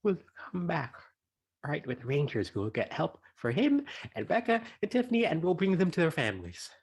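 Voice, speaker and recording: Lady playing a scared practical young camper, insistent, super expressive swings, stage reading. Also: low voice, fairly quick, husky, full character voice. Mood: pleading. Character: Chad, scared but pragmatic young camper.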